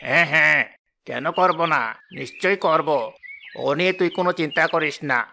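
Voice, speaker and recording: A man voicing a greedy, sly, two-faced village farmer in an animated moral story. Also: on the high side, a little fast, gravelly, a little hiss or hum, exaggerated voice. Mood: falsely friendly. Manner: falsely reassuring, gloating.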